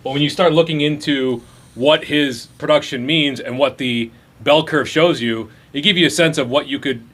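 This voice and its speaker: A man co-hosting a fantasy football podcast, talking through analysis in a dry, measured way. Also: a little high, fairly bright tone. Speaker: podcast co-host. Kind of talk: casual sports chat.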